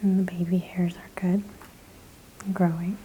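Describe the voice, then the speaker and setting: A woman whispering gently in an ASMR spa roleplay, softly and slowly describing each thing she does in a breathy voice. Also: on the low side, little pitch movement, highs cut off, a little hiss or hum. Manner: slow, gentle, step-by-step narration of actions. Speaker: ASMR performer playing a spa practitioner.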